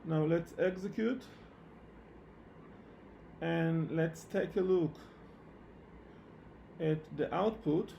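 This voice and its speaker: A man with a fairly high voice teaches programming live online, speaking English as a non-native language. His delivery is slow and halting, with words often repeated. Faint noise sits behind his voice. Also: gravelly, dark tone. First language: not English. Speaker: programming instructor. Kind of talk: live online technical lecture. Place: online meetup session at a computer.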